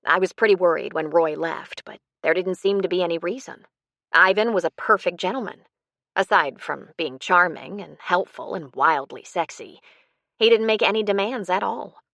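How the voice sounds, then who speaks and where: A woman's voice: fairly low pitch, normal speed, a bit bright, no room sound, clean studio sound. A woman voicing an embarrassed, bitter older woman who confides defensively in an audiobook story. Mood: reminiscing.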